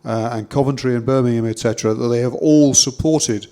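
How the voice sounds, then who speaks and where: A man's voice: mid-range voice, brisk, dark tone, smooth. A man speaking hesitantly and measuredly, with many fillers. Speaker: interviewee.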